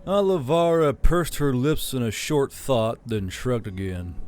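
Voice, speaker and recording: A man narrating a fantasy novel in a measured, even storytelling manner. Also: mid-range voice, faint music behind. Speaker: audiobook narrator. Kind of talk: scripted fiction narration.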